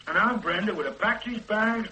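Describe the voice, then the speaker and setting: An actor playing a distracted, blunt police detective in a radio drama, speaking in a preoccupied way. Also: male voice, high-pitched, moderate pace, bright, forceful tone, a little hiss or hum, rough recording. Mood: puzzling. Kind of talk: 1980s radio drama acting.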